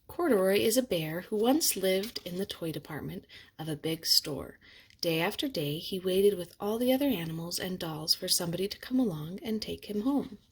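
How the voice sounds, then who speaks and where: A woman narrating a children's picture book aloud in an expressive storytelling manner. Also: fairly low pitch, moderate pace, husky. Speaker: storybook reader.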